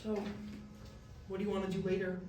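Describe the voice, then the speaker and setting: A man's voice: high voice, completely flat delivery, fairly quick, dark tone, a little hiss or hum, lightly acted. A young man playing a struggling, grieving and defensive college student in a staged reading of a musical. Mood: casual, pleading. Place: echoey room, staged reading. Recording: very echoey, distant, piano over voice.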